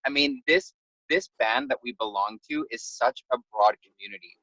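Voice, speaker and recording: A man's voice: mid-range voice, moderate pace, sharp tone, no room sound, lo-fi sound. A man speaking casually and unscripted as a guest on a community band's panel. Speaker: panel guest, band member.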